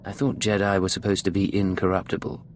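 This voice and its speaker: An actor playing a cool, cynical secret agent in a video game, speaking in a controlled, laconic deadpan. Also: male voice, sits low, quick pace, slight background noise, close to an everyday voice. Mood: cool, sardonic.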